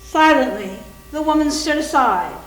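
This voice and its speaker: A woman's voice: high-pitched, lively intonation, measured pace. A woman reading scripted story narration in a readers theater performance. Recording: echoey room recording.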